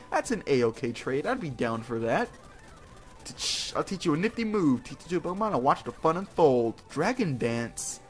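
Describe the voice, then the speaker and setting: A man hosting a casual video game playthrough, rambling, exclaiming and poking fun at himself as he goes. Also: medium pitch. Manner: rambling, self-mocking, exclaiming. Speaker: gaming video host. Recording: music behind the voice. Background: video game music.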